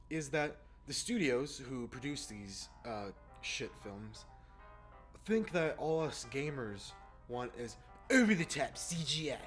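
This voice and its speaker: A man in a casual, exasperated rant as a gaming video host, informal and sweary. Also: mid-range voice. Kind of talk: casual opinionated rant to camera or mic. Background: background music.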